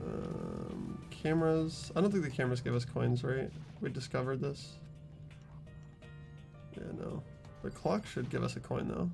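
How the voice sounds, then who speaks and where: A man's voice: medium pitch, huge pitch swings, measured pace, gravelly, music in the background. A man hosting a video game playthrough, commenting in an informal, chatty way. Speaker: playthrough host and voice actor. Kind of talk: casual gameplay commentary with dialogue reading.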